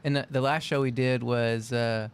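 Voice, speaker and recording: A man co-hosts a casual podcast in an unhurried, easygoing way, often restarting his sentences. His voice is smooth and clean, at a middle pitch. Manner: relaxed and easygoing, with frequent restarts. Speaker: podcast co-host. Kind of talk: casual chat.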